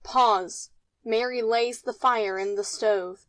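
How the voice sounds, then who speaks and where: Female narrator for play stage directions, fairly high voice, very dry close sound. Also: steady tone, takes it slowly, a bit bright, dry recording.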